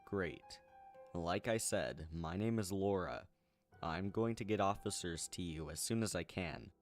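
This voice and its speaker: Man reading as a low-voiced, reassuring female dispatcher. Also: unhurried. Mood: reassuring, probing. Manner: clear procedural questioning, reassuring. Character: female police emergency dispatcher, calm, professional, reassuring. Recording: music behind the voice.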